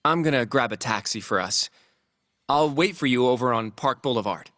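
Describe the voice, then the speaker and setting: A man's voice: mid-range voice, moderate pace, a bit bright, no room sound, clean studio sound, natural voice. Male actor as polite, earnest young associate; mid voice, eager and slightly anxious. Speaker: law office assistant. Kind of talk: game cutscene voice acting.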